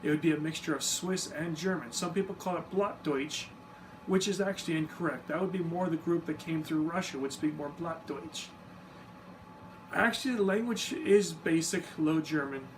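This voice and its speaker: Male explainer, slightly high voice, relaxed and informal, recorded from a distance. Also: moderate pace. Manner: easygoing, self-deprecating, informal asides. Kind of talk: casual explanatory talk to camera. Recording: distant mic with steady background noise.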